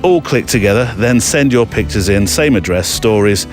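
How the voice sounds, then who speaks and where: A man's voice: lowish voice, lively intonation, normal speed, music in the background. A man hosting a podcast in a casual, rambling, enthusiastic and self-deprecating way. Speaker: podcast host. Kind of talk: casual chatty podcast presenting.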